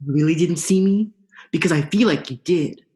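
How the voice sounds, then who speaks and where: A woman's voice: deep voice, normal speed, mellow, close to an everyday voice. A woman playing a blunt, incredulous talking eel in a radio play.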